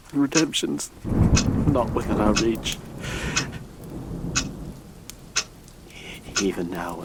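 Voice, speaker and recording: Deep-voiced, doom-laden grave robber. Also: male voice, very noisy. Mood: resigned, haunted. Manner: brooding, deliberate, theatrical. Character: guilt-ridden male grave robber narrating his doom. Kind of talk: gothic horror audio drama monologue.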